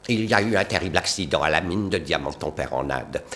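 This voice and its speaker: A man's voice: deep voice, expressive, fast. A man playing a formal, grave and unsentimental notary in a French cartoon dub. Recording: loud music behind the voice.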